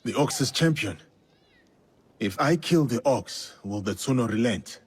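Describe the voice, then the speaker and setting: An actor playing a stern, principled samurai warrior in a video game, speaking in a measured, firm, husky voice. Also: male voice, mid-range voice, animated. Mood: resolute, calm. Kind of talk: dramatic video game acting.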